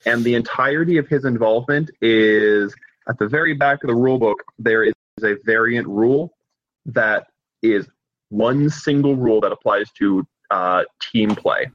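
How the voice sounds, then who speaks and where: A man's voice: medium pitch, normal speed, dry recording. A man co-hosting a podcast, giving casual, opinionated game review commentary over a lo-fi microphone.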